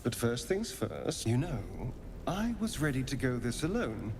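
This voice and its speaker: An actor with an English accent playing a suave, sly, theatrical elf rogue in a video game, speaking in an arch, mocking way. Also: male voice, medium pitch, big pitch swings, measured pace, big-room sound, noisy background. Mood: smooth, amused.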